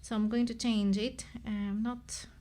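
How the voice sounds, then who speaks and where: A woman's voice: fairly high pitch, flat delivery, unhurried, warm tone. A woman with a Greek accent, a native Greek speaker, hosting a casual live stream and thinking aloud with many restarts and self-corrections. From Greece.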